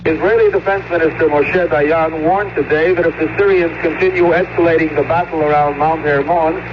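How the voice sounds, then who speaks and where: Foreign correspondent: male, fairly high pitch, scripted report, dull noisy overseas feed. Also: steady tone, brisk.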